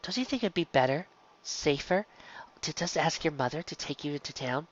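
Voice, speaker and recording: Kindly storybook schoolteacher pony, read by a woman, quiet, gentle and measured, lively pitch, slightly muffled sound. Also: dry recording. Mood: concerned. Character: kindly female pony schoolteacher, patient and concerned.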